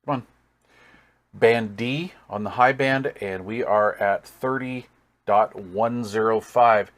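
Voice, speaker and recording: A man's voice: on the low side, slow pace. A man giving a casual hands-on product demonstration as a hobbyist reviewer, thinking aloud with folksy, easygoing enthusiasm. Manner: thinks aloud while working.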